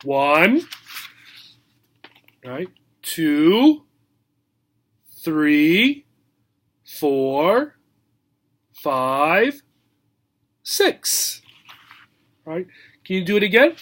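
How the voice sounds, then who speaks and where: A man reading a picture book aloud as a teacher, in simple short phrases, with animated questions for young listeners. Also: a little high, very slow, pauses often. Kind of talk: children's read-aloud lesson, asking questions.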